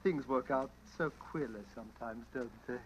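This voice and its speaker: A man's voice: mid-range voice, moderate pace, natural voice. A man playing a sly, genial yet sinister stranger in an old radio drama, musing and insinuating as he talks. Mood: slyly menacing. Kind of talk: 1940s radio acting. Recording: dull, faintly noisy old radio recording.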